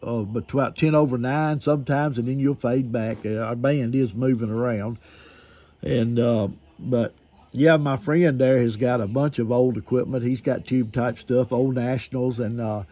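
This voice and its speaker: A man's voice: medium pitch, steady tone, moderate pace. A man chatting casually over amateur radio in a folksy, colloquial, rambling manner. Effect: radio transmission. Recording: dull, limited-band amateur radio audio.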